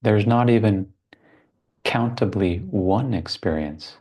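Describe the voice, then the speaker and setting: A man leading a guided meditation as a teacher, speaking contemplatively in short phrases with long pauses between them. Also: fairly low pitch, darkish tone.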